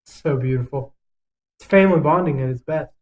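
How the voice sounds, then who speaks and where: A man's voice: fairly high pitch, a little fast, poor audio. A man co-hosting a casual podcast, talking in a blunt, foul-mouthed and emphatic way as he gives his opinions. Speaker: podcast co-host. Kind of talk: casual podcast chat, ranking list.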